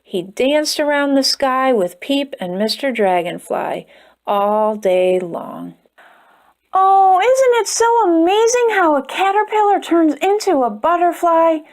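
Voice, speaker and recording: Slow, sing-song woman reading a kids' story. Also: high-pitched. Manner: expressive, soothing storytelling. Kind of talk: children's read-aloud.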